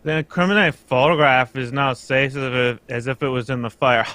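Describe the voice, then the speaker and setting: A man's voice: mid-range voice, normal speed, pushed and bright, no echo, close to an everyday voice. A man playing a pompous, formal king in an amateur radio drama, speaking with grand emphasis. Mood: relieved, grateful. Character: a pompous, anxious, grateful European king. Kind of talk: amateur old-time radio drama reading.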